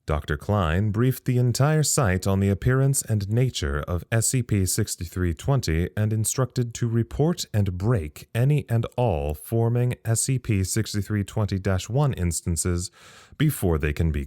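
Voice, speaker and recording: Male research site log-keeper, low voice, dry close sound, thoughtful, uneasy, measured, audio drama. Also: lively intonation, mellow, dry recording. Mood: official, measured. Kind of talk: audio drama monologue as a recorded incident log.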